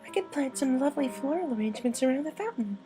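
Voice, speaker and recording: A man voicing a gentle, timid female pegasus pony in a storybook reading, polite and hesitant. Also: extremely high pitch, lively intonation, fast talker, music in the background, voice pushed up. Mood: gentle, pleasant.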